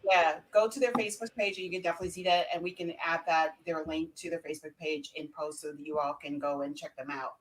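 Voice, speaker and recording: A woman's voice: low voice, steady tone, quick pace, few pauses, poor audio. A woman hosting a casual live show in an informal, rambling way, often correcting herself as she goes. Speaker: talk show host.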